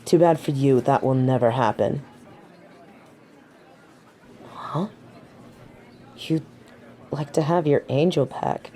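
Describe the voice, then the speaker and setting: Low, slow, haughty angel woman acted out. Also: warm tone. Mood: haughty, teasing. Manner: haughty, dramatic, defensive. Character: haughty tsundere fallen angel of judgement, proud, wounded, flustered. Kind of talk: scripted audio roleplay acting.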